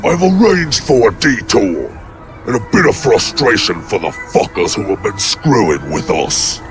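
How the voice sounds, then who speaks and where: A man's voice: fairly low pitch, big pitch swings, music in the background. An actor playing a commanding, sinister supernatural male entity in an audio drama, imperious and drawing out his words. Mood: smug, menacing. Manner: imperious, drawn-out.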